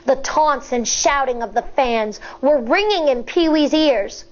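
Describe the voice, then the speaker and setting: A woman with a high voice reads aloud like an elementary school teacher to young listeners. Her delivery is expressive and deliberate, shaped for storytelling and explanation. The recording sounds slightly dull and lo-fi. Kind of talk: children's read-aloud with teaching asides.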